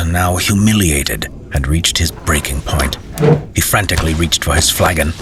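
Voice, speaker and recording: Very deep-voiced male fantasy narrator. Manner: measured, dramatic storytelling. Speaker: storyteller, narrator. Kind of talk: scripted fantasy story narration.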